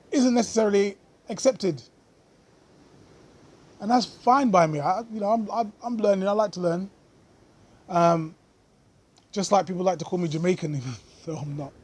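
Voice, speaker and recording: Brief: male vlogger, slightly high and husky voice, earnest unscripted commentary. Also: darkish tone. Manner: earnest, thoughtful, with frequent pauses and fillers.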